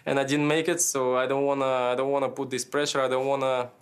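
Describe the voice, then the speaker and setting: A man's voice: medium pitch, level tone, fast, clean tone. A man in his twenties, a tennis player, talking through a match after it ends, searching for words, restarting sentences and laughing as he goes, heard over a remote link from a press room. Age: 20s. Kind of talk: post-match interview. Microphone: remote video link, head and shoulders.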